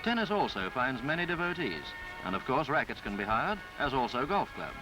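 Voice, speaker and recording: Male voice, medium pitch, expressive intonation, 1950s travelogue narration, formal and measured, old film soundtrack, music underneath. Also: poor audio. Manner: formal, florid, measured delivery. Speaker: newsreel narrator.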